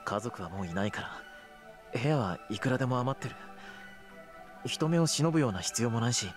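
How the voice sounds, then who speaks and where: A troubled young man from a visual novel, performed by an actor, speaking quickly and earnestly. He sounds like a lonely student, with clear music underneath. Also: mid-range voice, animated, lightly acted. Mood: timid. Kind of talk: Japanese visual novel voice acting.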